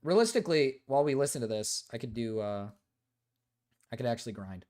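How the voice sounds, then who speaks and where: A young man chatting on a live stream, his voice sitting in an ordinary middle pitch. He gets worked up fast, cursing and protesting loudly, then drops to quiet muttering between bursts. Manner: excitable, swears, swings from loud indignation to mumbling. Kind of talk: casual reaction stream chat.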